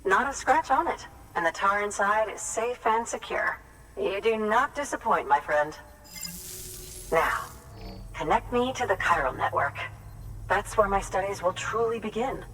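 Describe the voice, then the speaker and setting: A woman's voice: mid-range voice, expressive, a little slow, fairly bright tone, a little hiss or hum, rough recording. An actress playing an earnest female biologist in a video game, speaking in an articulate, precise, explanatory way. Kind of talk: video game cutscene dialogue.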